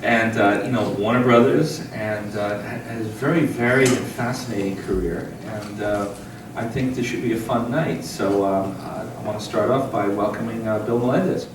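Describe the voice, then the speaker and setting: Halting male host in an echoey hall. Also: fairly low pitch. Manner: halting, full of fillers. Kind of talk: live event introduction. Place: hall with a live audience. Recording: echoey room, far-off mic, clear noise.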